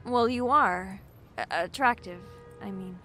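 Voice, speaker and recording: An actress playing an anxious, apologetic young bookshop clerk in an audio drama, speaking casually and hesitantly. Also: female voice, medium pitch, measured pace, bright, forceful tone, soft background music. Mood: flustered. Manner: hesitant, self-deprecating, casual. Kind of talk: naturalistic audio drama acting.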